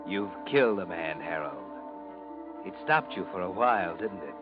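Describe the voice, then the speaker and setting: A sinister, low-voiced noir narrator. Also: male voice, expressive, moderate pace. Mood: taunting, ominous. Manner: insinuating, mocking, addressing the character as you. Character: sinister, taunting omniscient narrator watching a guilty man. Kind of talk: 1940s radio noir narration. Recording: muffled old radio broadcast, organ-style music. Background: loud dramatic music.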